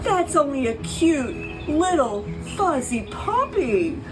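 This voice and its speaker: A woman voicing sneaky, silly burglars from a children's storybook, with hushed, exaggerated, drawn-out words. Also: high-pitched, big pitch swings, recorded from a distance, voice pushed up, exaggerated voice, low-quality recording. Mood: amused, dismissive.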